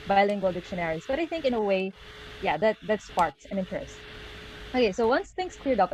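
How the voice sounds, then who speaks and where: A woman's voice: mid-range voice, a little mellow, a little hiss or hum, low-quality recording. Filipino woman presenting on a video call. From Philippines. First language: Tagalog. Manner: friendly, checks in with "okay?" and many "uh" fillers. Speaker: guest speaker. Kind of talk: online presentation.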